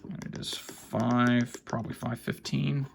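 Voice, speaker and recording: Guy casually showing his collection, kinda mumbly and halting, lots of vocal fry, bit of background noise and clicks. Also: medium pitch, flat delivery. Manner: halting, muttering to himself while handling gear. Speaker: hobbyist collector presenting weather radios. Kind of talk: casual off-the-cuff show-and-tell. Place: indoors, small cluttered room at home. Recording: faint background noise, clicks and handling sounds.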